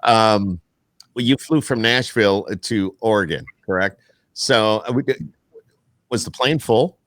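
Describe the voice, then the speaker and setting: A man's voice: on the low side, unhurried, a bit bright, no room sound. An American man chatting casually as a podcast host, asking his guest questions. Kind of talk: casual interview chat.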